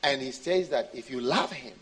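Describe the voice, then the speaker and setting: A man's voice: mid-range voice, moderate pace, fairly bright tone, poor audio. A man preaching a sermon in an informal, conversational way, repeating phrases for emphasis and speaking straight to his congregation. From Switzerland. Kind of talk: sermon with anecdotes and jokes. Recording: live audience sound behind the voice.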